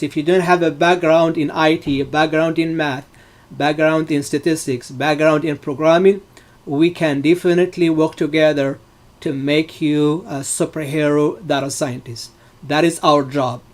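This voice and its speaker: A middle-aged man from Morocco, his voice sitting a little high, teaches a live online class. He speaks in loose, halting phrases padded with fillers as he explains each point to his students. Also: fairly even intonation. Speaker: data science instructor.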